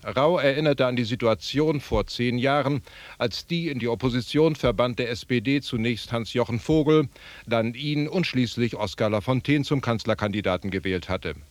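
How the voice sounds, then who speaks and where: A man's voice: medium pitch, moderate pace, no echo. A man reading a scripted political news report as a radio correspondent.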